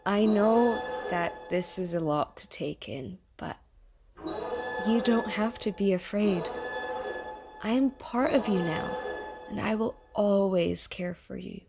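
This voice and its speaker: A woman playing a chatty, falsely friendly AI that lives in someone's mind, coaxing and quietly menacing in an audio theatre monologue. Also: medium pitch, slow pace, music in the background. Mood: soothing, controlling. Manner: chummy, casual, coaxing, passive-aggressive.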